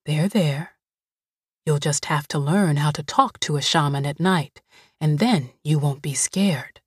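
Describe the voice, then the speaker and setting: A steady, soothing older woman in a storybook, speaking low and unhurried, as a woman reader puts her on. The voice is husky and dry, recorded close. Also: no room sound, full character voice.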